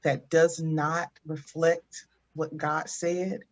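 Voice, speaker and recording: A woman's voice: deep voice, little pitch movement, drawn-out words, lo-fi sound. A woman speaking as a guest about her faith, intense and self-questioning. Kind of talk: reflective faith talk.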